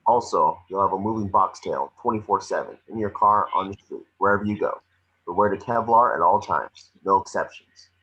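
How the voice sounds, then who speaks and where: A man's voice: sits low, normal speed, a bit bright. A man playing a blunt, commanding FBI chief in a screenplay table read. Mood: concerned, firm. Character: gruff, hard-nosed male FBI chief, blunt and commanding. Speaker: amateur actor in an online table read. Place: remote video call. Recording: muffled video call audio.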